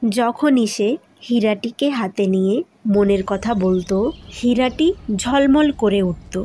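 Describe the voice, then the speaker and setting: A woman's voice: fairly high pitch, unhurried, warm tone. A woman reading scripted fairy-tale narration as a cartoon storyteller.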